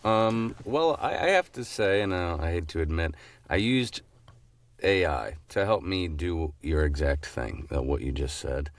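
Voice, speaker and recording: A man's voice: sits low, animated, a little slow. A man co-hosting a podcast, chatting casually in a joking, informal, self-deprecating way. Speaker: podcast co-host. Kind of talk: casual chat.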